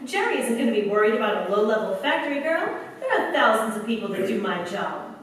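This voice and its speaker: Woman playing a high-voiced, wisecracking American factory girl. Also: sing-song, quick pace. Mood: wry defiance. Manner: wry, slangy, unimpressed. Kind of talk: staged 1940s-style radio play acting. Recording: very echoey, distant mic, faint noise.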